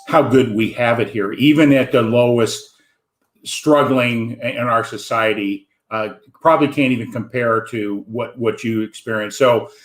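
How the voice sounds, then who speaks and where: A man's voice: medium pitch, echoey room. An American man hosting an online interview show, talking in a rambling way with many fillers. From United States. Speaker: host. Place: home in Wisconsin.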